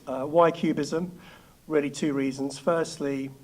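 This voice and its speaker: A man from Spain giving a prepared business talk to an audience, explaining his points in a measured, structured way. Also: fairly high pitch, fairly even intonation, darkish tone. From northwest Spain. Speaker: law firm founder giving a talk.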